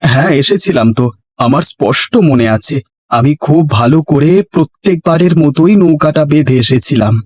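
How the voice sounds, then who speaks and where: A man voicing a humble, honest village fisherman husband in an animated folk tale, with a plaintive, earnest, homely manner. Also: a little high, moderate pace, no room sound, lightly acted. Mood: puzzled, resigned. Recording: music behind the voice.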